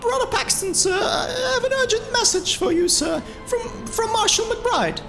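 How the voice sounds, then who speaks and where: A man voicing a young gnome girl messenger with a shrill, thin voice, speaking urgently and haltingly in a fantasy audio drama. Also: lively intonation, creaky, pushed and bright, soft background music, heavily acted. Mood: urgent, breathless. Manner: urgent, halting, repeating words.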